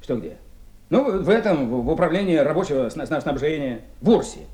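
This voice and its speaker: An actor plays a pompous, aggrieved working man with a high, very animated voice. He stammers and pads his complaints with officious phrases, querulous throughout, in a 1970s radio drama with a roomy echo. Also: brisk, natural voice. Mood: aggrieved, self-important.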